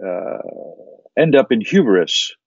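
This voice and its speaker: A middle-aged man with a General American accent speaks in a lowish voice, pausing often to restart his thoughts. The recording is dry and slightly dull, with a lo-fi edge. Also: big pitch swings, slightly rough, dry recording. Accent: General American, Chicago-like. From born in Kuwait, raised mostly in the United States. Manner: measured, many fillers and restarts. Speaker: investment firm founder, guest. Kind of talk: interview answers.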